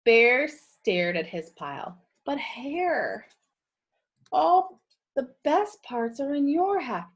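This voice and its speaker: A woman reading a picture book aloud to children in a teacherly, expressive way, pausing to ask them questions. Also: high voice, husky. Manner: animated, teacherly. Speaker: read-aloud storyteller for children.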